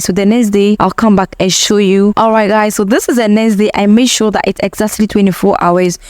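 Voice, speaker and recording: A woman's voice: medium pitch, a little mellow, no room sound, clean studio sound. Chatty woman teaching a home recipe. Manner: chatty and instructive, often addresses viewers as "guys". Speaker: hair-care vlogger. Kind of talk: casual home tutorial talking to viewers.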